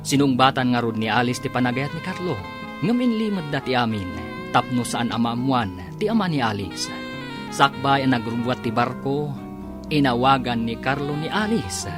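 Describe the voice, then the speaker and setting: Ilocano radio serial narrator, sweeping animated delivery, music underneath. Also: mid-range voice, normal speed. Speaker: announcer and narrator. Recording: music bed behind the voice.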